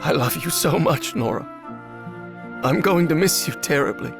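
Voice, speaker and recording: Male professor, gravelly, very expressive pitch, anxious, hesitant, earnest, game drama. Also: moderate pace, music in the background. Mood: tender, sorrowful. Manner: hesitant, emotional, questioning. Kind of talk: cinematic game voice acting.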